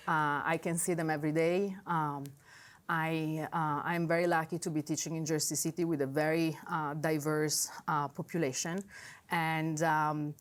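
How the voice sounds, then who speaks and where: Woman, Italian accent, low voice, halting answers with fillers, laughs easily. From Italy. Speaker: high school teacher. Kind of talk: interview answers.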